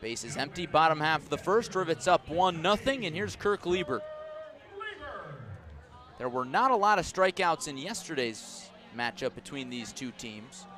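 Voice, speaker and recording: A male baseball radio announcer with a somewhat high-pitched voice calls a game from an outdoor ballpark. He describes the scene in careful, measured detail. His delivery is live play-by-play, made punchier for the action. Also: lively intonation, pushed and bright. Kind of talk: live sports commentary.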